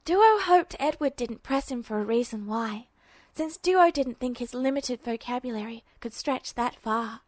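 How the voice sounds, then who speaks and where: A woman's voice: fairly high pitch, expressive, unhurried, no echo. A woman reading scripted fiction aloud as an amateur audiobook narrator.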